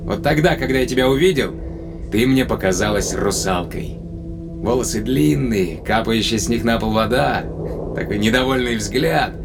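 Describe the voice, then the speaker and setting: An actor playing a tender but probing spaceship captain in a Russian audio drama, speaking conversationally with deliberate pauses. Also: male voice, mid-range voice, over music, lightly acted. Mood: fond reminiscing. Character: male spaceship captain, long-lived, tenderly probing his companion.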